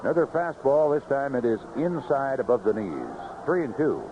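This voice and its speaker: An American man calling baseball play-by-play live on the radio in clipped phrases, in an animated manner. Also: medium pitch, moderate pace. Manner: animated calls rising sharply on big plays, clipped phrases. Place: ballpark broadcast booth. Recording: noisy, muffled vintage AM radio broadcast.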